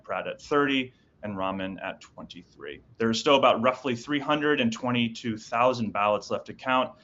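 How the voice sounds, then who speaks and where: A man's voice: mid-range voice, bright, forceful tone, lo-fi sound. A man hosting a news show, reading headlines and then interviewing a guest with short follow-up questions. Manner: brisk, reads headlines then asks follow-ups with frequent backchannels.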